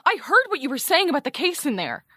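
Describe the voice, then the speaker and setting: An actress playing a proud, combative radio journalist in an audio comedy-drama, haughty, pedantic and exasperated with precise wording. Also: female voice, sits high, very animated, fast, pushed and bright, no room sound, clean studio sound, heavily acted. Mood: furious. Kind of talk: animated audio fiction comedy-drama acting.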